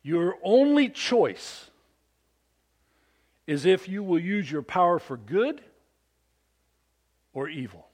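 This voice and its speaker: A man in his fifties preaching a sermon to fathers, with a big, animated delivery that calls for amens from the congregation. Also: sits high, slow pace, long pauses. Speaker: pastor.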